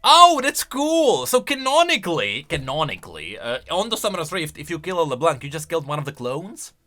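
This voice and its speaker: Bright, animated man doing game commentary. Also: on the high side, brisk. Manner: expressive, conversational, musing aloud. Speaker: video game lore commentator. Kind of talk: casual reaction commentary on game voice lines.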